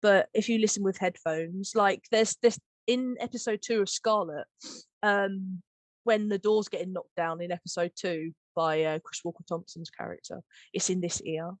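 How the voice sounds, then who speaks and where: A woman's voice: mid-range voice, steady tone, moderate pace, dry recording. Effusive, giggly woman podcaster. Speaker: podcast host and audio drama editor. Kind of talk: casual chat about making audio drama.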